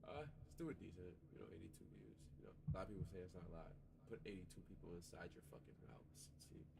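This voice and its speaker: A man's voice: deep voice, wildly swooping pitch, fast talker, gravelly, heavy background noise. A man who makes music, stuffy-nosed, talking casually and loosely in his home studio with lots of fillers and swearing. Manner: laid-back, rambling, lots of fillers and swearing. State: stuffy nose. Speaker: music artist and producer.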